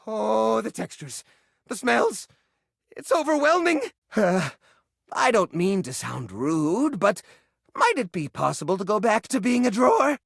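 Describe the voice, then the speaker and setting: An actor voicing a fussy, anxious junk drawer come to life in a video game, theatrical and flustered, with old-fashioned folksy exclamations. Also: male voice, a little high, gravelly, husky, no room sound, studio quality, full character voice. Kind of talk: video game character voice acting.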